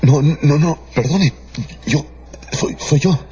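A man playing a timid, flustered aging count with halting speech in a radio drama. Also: a little high, dark tone. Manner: halting, stammering. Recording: dull lo-fi radio drama with music.

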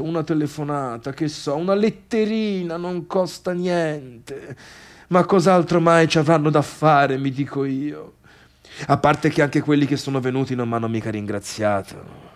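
A male actor plays a bitter, sarcastic man who failed as a pianist and now works as a critic. His voice sits fairly high, with a Tuscan accent. He complains in colloquial speech, in the manner of a radio theatre monologue. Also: a little slow, close to an everyday voice. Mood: aggrieved, scornful. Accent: Tuscan Italian.

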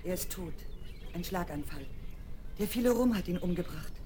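A woman's voice: on the low side, animated, normal speed, close to an everyday voice. A woman playing an innkeeper mother in a radio play, worried but firm, on a hissy vinyl recording.

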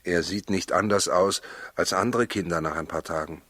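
A man's voice: low voice, normal speed, a bit bright, no echo. An actor playing a humble, guarded, plain-spoken husband and father in a 1960s radio drama. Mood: wary. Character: wary, humble husband guarding his newborn child.

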